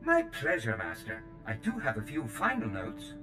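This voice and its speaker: Prim, swooping servile droid. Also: male voice, on the low side, huge pitch swings, normal speed. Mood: eager, obliging. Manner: prim, formal, eager to please. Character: fussy, servile protocol droid, starship steward. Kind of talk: scripted video game dialogue. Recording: echoey, music clearly behind. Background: music.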